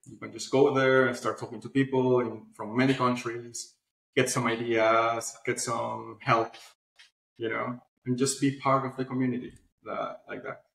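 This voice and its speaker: A man speaking as an answers interview questions in an informal, explanatory way. His voice sits at an average pitch. The sound is noticeably roomy and echoey. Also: level tone, moderate pace, creaky, a bit bright. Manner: informal, explanatory, often adds "you know". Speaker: guest, publishing platform founder.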